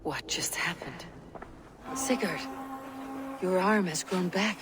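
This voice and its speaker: A woman playing an earnest, questioning young Viking warrior in a video game, husky and sometimes breathy. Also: on the low side, lively intonation, slow, music in the background. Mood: bewildered. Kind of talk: dramatic video game acting. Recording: noisy ambient background.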